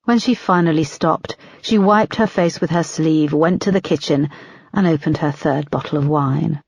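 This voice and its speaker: A woman reading a crime thriller audiobook in a steady, measured storytelling manner. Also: low-pitched, breathy edge, dry recording. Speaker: audiobook narrator. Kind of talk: scripted narration.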